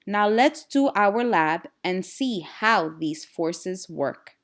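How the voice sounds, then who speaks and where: Woman as an unhurried, encouraging science teacher. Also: mid-range voice, expressive.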